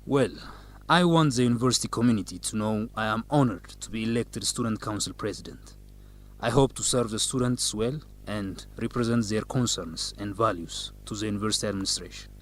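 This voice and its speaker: An actor playing a dignified young student council president, answering formally in an educational radio drama. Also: male voice, fairly low pitch, natural voice. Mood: formal, assured. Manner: formal, measured, politician-like.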